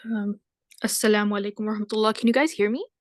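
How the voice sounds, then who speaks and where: A woman's voice: medium pitch, racing, no room sound. Woman in her role as outreach director, casual and slightly unsure, on a dry video call. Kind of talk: casual self-introduction.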